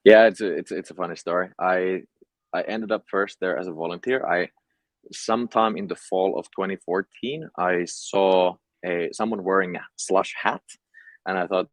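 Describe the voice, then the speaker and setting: Young man, Finnish accent, low-pitched voice, dry recording, casual interview talk. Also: normal speed, no echo. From Finland. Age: young adult. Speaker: entrepreneur interview guest.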